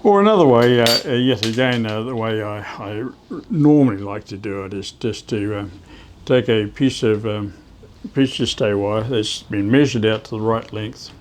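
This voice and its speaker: A man giving a casual hands-on how-to as a hobbyist, talking haltingly as he works with his hands. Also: on the low side, a little mellow. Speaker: ham radio hobbyist presenting DIY tips.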